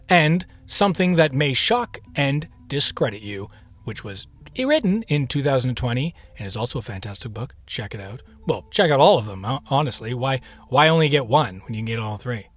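Playful male podcast host over music. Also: medium pitch. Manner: playful, theatrical, with whispered echo-like repeats and asides. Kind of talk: chatty scripted podcast introduction.

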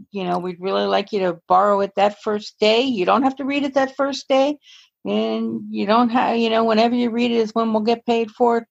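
Gravelly woman on lo-fi audio. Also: medium pitch. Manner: rambling, self-correcting. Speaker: podcast co-host. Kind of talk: casual chat. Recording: dull, lo-fi audio.

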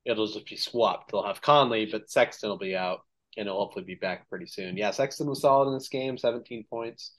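A man's voice: on the low side, fairly quick. A man hosting a casual sports podcast, recapping games with plenty of ums and filler, his voice a little worn. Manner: relaxed, filler-heavy recap of game stats. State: slightly losing his voice. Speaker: podcast host.

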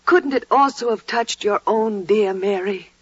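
An actress playing an older, devout and protective woman, gentle and solemn, in 1940s radio drama style. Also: medium pitch, measured pace, husky. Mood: earnest, pleading. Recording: dull, roomy 1940s radio recording.

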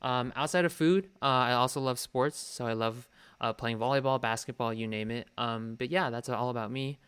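A man's voice: medium pitch, moderate pace, clean tone. A man in his twenties, co-hosting a podcast remotely, talking casually with plenty of ums. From Colorado. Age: 20s. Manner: relaxed, many ums. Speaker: podcast co-host. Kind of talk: casual podcast chat, partly read. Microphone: remote home mic.

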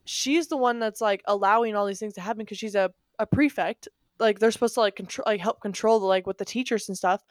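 A woman co-hosting a podcast, chatting casually with many fillers and frequent laughs, in a slightly deep voice. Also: brisk, hardly any pauses, no room sound, studio quality. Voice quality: rambling, filler-heavy, laughs often; deeper voice. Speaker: podcast co-host. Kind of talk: casual chat.

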